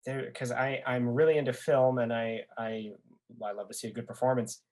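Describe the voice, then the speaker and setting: A young man with a mid-pitched voice speaks as a guest on a podcast. His delivery is casual and keen, like someone sharing a favorite interest. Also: little pitch movement, normal speed, a little mellow. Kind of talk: casual chat.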